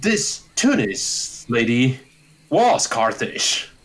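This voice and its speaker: A man's voice: mid-range voice, big pitch swings, extremely slow, a bit bright, heavily acted. A man playing a kindly, optimistic old courtier in a Shakespearean audio drama, earnest, wordy and declamatory. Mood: insistent.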